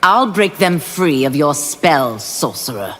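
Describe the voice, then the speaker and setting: Defiant demon warrior, animated pitch. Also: female voice, slow pace, heavily acted. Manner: firm, defiant, formal. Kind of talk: video game combat banter. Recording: echoing hall, clear music behind.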